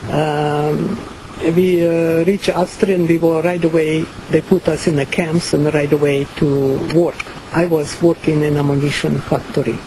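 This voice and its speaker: An older woman from Ukraine with a Ukrainian accent, giving slow, halting personal testimony full of fillers and pauses. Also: low voice, level tone, noisy background. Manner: many pauses and fillers, non-native phrasing. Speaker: survivor giving a talk.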